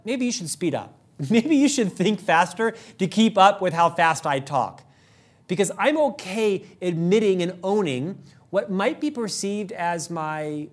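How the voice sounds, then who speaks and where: A middle-aged man hosting a leadership show, talking fast and upbeat in a prepared monologue, with an occasional stutter on a word. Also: high-pitched. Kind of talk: scripted monologue to camera.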